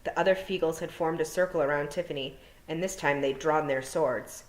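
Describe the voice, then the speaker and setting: A woman's voice: deep voice, brisk. A woman narrating a children's fantasy novel as a storytime reader. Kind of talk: storybook narration read aloud. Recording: roomy echo.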